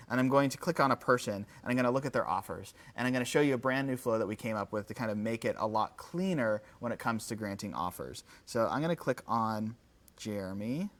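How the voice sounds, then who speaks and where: A man giving a casual live software demo, with an enthusiastic, informal, conversational manner. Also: mid-range voice. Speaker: company executive presenting a livestream.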